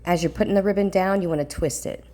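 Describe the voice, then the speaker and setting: A middle-aged woman, slightly congested, explaining a craft project step by step in a calm, plain, practical way. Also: lowish voice, quick pace. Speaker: craft tutorial host.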